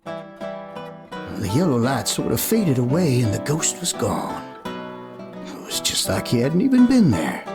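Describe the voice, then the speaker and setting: A man's voice: medium pitch, wildly swooping pitch, measured pace, pauses often. A man playing a folksy cowboy storyteller narrating a Western radio play. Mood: hushed wonder. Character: folksy cowboy storyteller telling a boy a tale. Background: guitar music.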